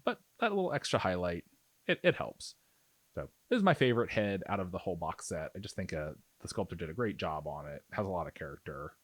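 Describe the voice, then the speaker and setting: A man's voice: fairly low pitch, no room sound. A man giving a casual hobby tutorial as an instructor, explaining things in an easygoing, reassuring way. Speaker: miniature painting instructor.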